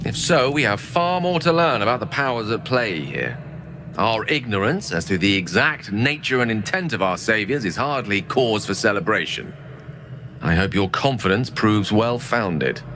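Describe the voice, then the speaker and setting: A man's voice: fairly low pitch, very animated, normal speed, pushed and bright. A man playing a courteous, bookish wizard in a video game, eloquent and scholarly. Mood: thoughtful. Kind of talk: video game character acting. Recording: music behind the voice.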